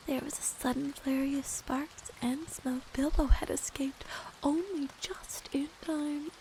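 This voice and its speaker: Woman, soft whispered story reading, stuffy nose, high voice. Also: low-quality recording.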